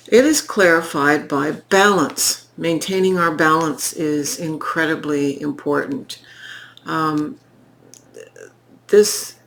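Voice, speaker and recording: A woman giving a tarot reading in an unhurried, reflective way, her voice croaky from recent illness. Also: low voice. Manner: slow, reflective, pausing, with fillers. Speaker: tarot reader. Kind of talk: unscripted spoken tarot reading.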